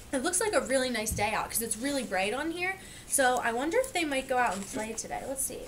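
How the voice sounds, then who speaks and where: Woman, slightly high voice, children's read-aloud, explanatory teaching manner, faint background noise. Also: a bit bright. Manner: explanatory, asking and pointing things out to listeners. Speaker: reader teaching young children.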